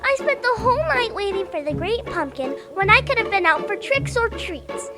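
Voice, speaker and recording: A storybook narrator voicing a fed-up, hot-tempered little girl who exclaims loudly and indignantly. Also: extremely high pitch, big pitch swings, normal speed, pitched above the performer's own voice. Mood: frustrated, furious. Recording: music behind the voice.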